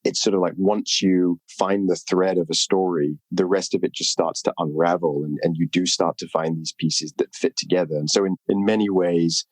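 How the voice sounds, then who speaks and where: A man's voice: low-pitched, no room sound. A man from the UK, a film writer-director talking as a guest in a casual interview, reflective and hedging often. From United Kingdom. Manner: reflective, hedges often with "sort of".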